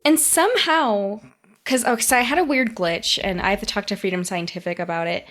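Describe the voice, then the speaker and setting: A woman's voice: medium pitch, fairly quick, fairly bright tone. A woman co-hosting a podcast, chatting casually about tech and acting out her reactions as she tells stories. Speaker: podcast co-host.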